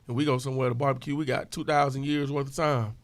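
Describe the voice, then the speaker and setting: A man speaks quickly and earnestly, leaning on street slang as he makes his points. His voice is dry and close, with no room sound around it. The delivery stays conversational and emphatic. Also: medium pitch, steady tone, no room sound. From Houston, Texas. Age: 40s. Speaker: podcast guest, club owner. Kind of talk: casual conversation.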